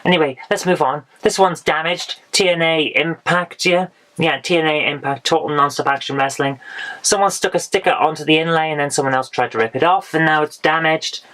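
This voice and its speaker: Hoarse woman reviewing retro games. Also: sits low, fairly even intonation, fairly quick, fairly bright tone. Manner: chatty and frank, with dry asides. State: hoarse, losing her voice. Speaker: retro game vlogger. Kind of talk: casual game haul review.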